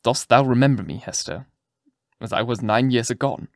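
A man's voice: medium pitch, normal speed, vocal fry, dry recording, clean studio sound, natural voice. Man playing a bitter old vengeful physician. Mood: brooding gloom. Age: older. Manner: archaic, solemn, theatrical delivery.

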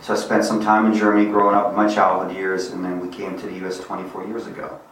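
Bosnian-born man, low voice, echoey room. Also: monotone, fast, a bit bright, recorded from a distance, a little hiss or hum. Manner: earnest, reflective storytelling. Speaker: guest. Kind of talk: casual interview.

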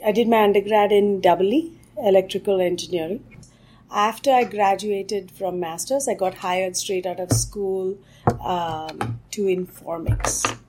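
A woman's voice: medium pitch, measured pace, darkish tone, poor audio. A middle-aged woman from India, a guest answering interview questions reflectively, with fillers and restarts. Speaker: guest, tech executive.

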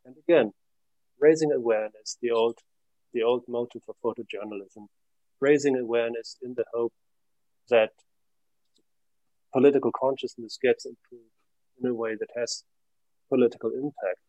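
A middle-aged man from Germany, an academic guest, giving reflective and measured interview answers that trail off at the ends of phrases. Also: mid-range voice, fairly even intonation, dark tone, dry recording, rough recording.

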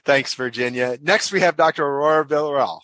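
A man's voice: on the high side, fairly quick, fairly bright tone, dry recording. A man hosting a professional podcast, introducing guests with many fillers and restarts. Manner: hesitant, frequent uh fillers and repeated words. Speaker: podcast host, association director.

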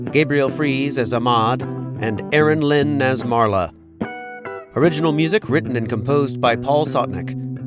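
A man reading scripted announcements as the announcer for an audio production. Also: medium pitch. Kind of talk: scripted narration. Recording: music bed behind the voice.

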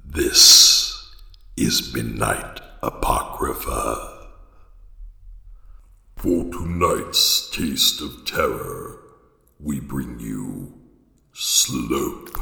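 A man playing a sinister horror radio host who introduces bedtime terrors in a menacing, drawn-out, mock-soothing way. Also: expressive, sharp tone, exaggerated voice. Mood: creepy, menacing. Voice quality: gravelly, very deep. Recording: cavernous long reverb.

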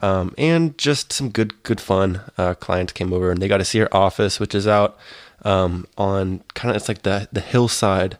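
A young man co-hosting a casual podcast, relaxed and hesitant, with a stuffy nose from allergies. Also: sits low, moderate pace, hardly any pauses, no echo, clean studio sound. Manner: relaxed, laid-back, hesitant with fillers. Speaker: podcast co-host, coffee taster. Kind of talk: casual chat.

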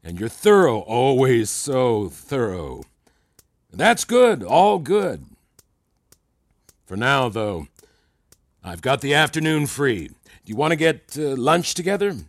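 Smug mentor, slow and gravelly. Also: male voice, medium pitch, sing-song, dry recording. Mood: condescending praise. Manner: theatrical, effusive, slow savoring delivery with pointed pauses. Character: smug older male mentor, falsely flattering and condescending. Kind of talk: fiction podcast audio drama acting.

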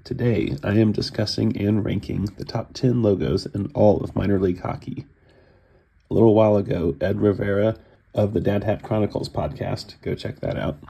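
A man's voice: on the low side, fairly even intonation, moderate pace, gravelly, mellow, slightly rough. A man from Cincinnati hosting a solo podcast in a casual, chatty way, sounding groggy from a cold. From Cincinnati, USA. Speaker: podcast host.

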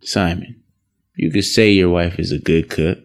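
An actor playing a smooth-talking, reassuring husband in an audio drama. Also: male voice, low voice, unhurried. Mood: attentive. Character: smooth-talking, placating husband and farm worker.

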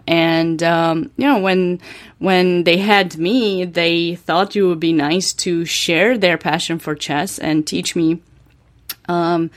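A woman from Romania with a Romanian accent, a podcast guest speaking in a thoughtful, hesitant way with many ums. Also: on the low side. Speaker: guest, chess grandmaster and coach. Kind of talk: interview conversation.

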